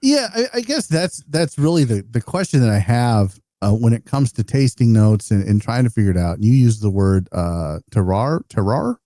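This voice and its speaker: A man's voice: medium pitch, very animated, moderate pace, few pauses, mellow, no echo, clean studio sound. A man co-hosting a podcast, asking questions and thinking aloud with some hesitation. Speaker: podcast co-host. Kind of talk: casual podcast conversation.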